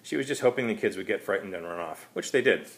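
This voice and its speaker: A man's voice: fairly low pitch, lively intonation, fast, a bit bright. A man reading his own short story aloud as narrator, in a wry, conversational manner.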